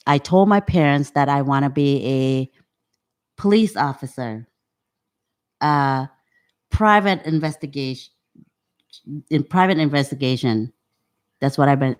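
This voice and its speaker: A woman in her fifties, originally from Cambodia, speaking English as her at an unhurried pace. Her sentences come out halting, with frequent restarts as she searches for words. The talk is a relaxed interview chat. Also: extremely low pitch. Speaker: guest. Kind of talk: casual conversational interview.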